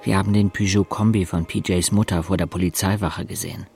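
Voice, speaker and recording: A man's voice: low voice, fairly even intonation, fairly quick, gravelly, darkish tone, breathy edge, soft background music, full character voice. A man playing a wry teenage village boy who narrates his own life in a radio drama, matter-of-fact and dryly observant. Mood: dry, reflective. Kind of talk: scripted first-person narration.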